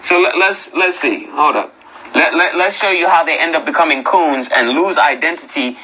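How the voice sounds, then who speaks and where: A male host gives blunt, unscripted commentary in a high-pitched voice. His tone is very bright and hard-edged. He swears freely, repeats himself to drive points home and challenges listeners with rhetorical questions. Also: moderate pace, husky, rough recording. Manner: blunt, profane, repeats phrases for emphasis. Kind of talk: unscripted commentary on read-out articles and clips.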